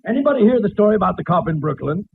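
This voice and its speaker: An actor playing an irritable, self-important joke teller at a lodge party, testy and laboured, in 1940s radio comedy style. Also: male voice, high-pitched, very animated, rapid-fire, vocal fry, phone-quality sound, exaggerated voice. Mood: determined storytelling. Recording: thin, noisy old radio recording.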